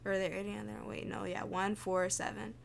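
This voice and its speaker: A woman's voice: mid-range voice, flat delivery, brisk, creaky, a little hiss or hum. A woman streaming a game, thinking aloud in informal, muttered commentary to herself, with music behind her.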